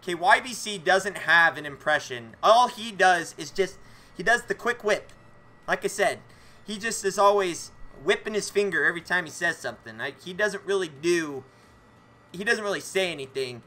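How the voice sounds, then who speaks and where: A man's voice: high voice, moderate pace. A young man with a lisp, chatting casually and jokingly as he live streams a video game, swearing mildly. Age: young adult. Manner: animated, joking, mildly profane. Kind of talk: casual livestream chat with viewers. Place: indoor room at home.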